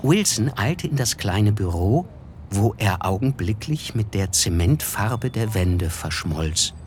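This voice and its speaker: An actor playing a reflective, observant first-person narrator in a literary radio play, measured and descriptive. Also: male voice, fairly low pitch, lively intonation, darkish tone, a little hiss or hum. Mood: detached.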